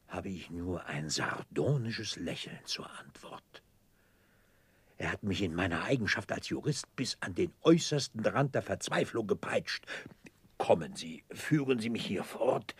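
An actor playing a pompous, theatrical middle-aged debtor clerk in despair, declaiming in florid oratory in a 1960s radio play. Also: male voice, deep voice, sing-song, measured pace, breathy edge, no room sound, heavily acted. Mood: wounded, melancholy.

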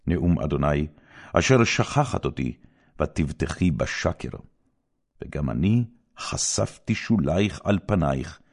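A man narrating scripture in a formal, solemn and measured declamatory style with clear phrasing. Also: rumbling low, darkish tone. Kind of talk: formal scripted Bible reading.

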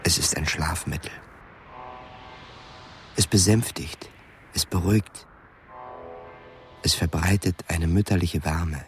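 A man's voice: low-pitched, takes it slowly, long pauses. A man reading literary narration in a radio play. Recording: studio radio play with music underneath. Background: clearly audible music.

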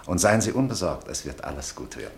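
A courteous, formal landlord in an old radio play. His voice is extremely deep with a gravelly rasp. He speaks in a polite, soothing way. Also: big pitch swings, quick pace, background noise. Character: courteous Parisian landlord, older man. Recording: old mono radio drama.

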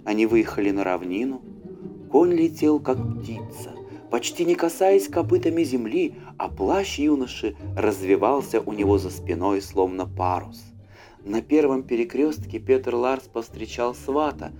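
A man narrating a fairy tale as an audiobook, with an expressive, dramatic storyteller's delivery. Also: mid-range voice, unhurried. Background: faint music.

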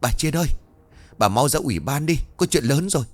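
A man voicing a panicked young village man who shouts urgent news while gasping for breath. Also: a little high, animated, brisk, voice pushed up. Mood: frantic shouting.

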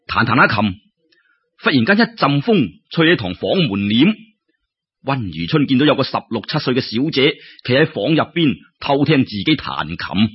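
A man with a Cantonese accent telling a story in an expressive, colloquial way, with exclamations and rhetorical questions. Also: mid-range voice, breathy edge. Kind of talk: animated traditional Cantonese storytelling narration. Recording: dull lo-fi audio.

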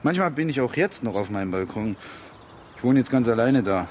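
Animated, blunt, reckless working-class buddy played by a man. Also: mid-range voice, brisk, slight background noise, close to an everyday voice. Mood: confiding, intense. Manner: blunt, colloquial, short punchy phrases. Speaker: actor in a radio play. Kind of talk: naturalistic radio drama dialogue.

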